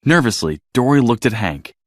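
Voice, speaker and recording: A man reading a scripted children's story as narrator, in an expressive, dramatic storytelling manner. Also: medium pitch, moderate pace, no echo, clean studio sound.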